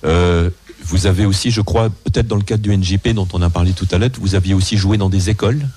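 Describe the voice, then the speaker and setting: Brief: older man, radio interviewer, very deep voice, hesitant delivery. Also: steady tone, fast, a little mellow, husky. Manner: hesitant, many restarts and fillers. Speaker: radio host.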